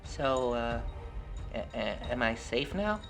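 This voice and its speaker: A man's voice: medium pitch, sing-song, takes it slowly, creaky, music in the background, heavily acted. A man voicing a timid young boy in a horror story, hesitant and anxious in his speech. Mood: frightened. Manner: hesitant, stammering.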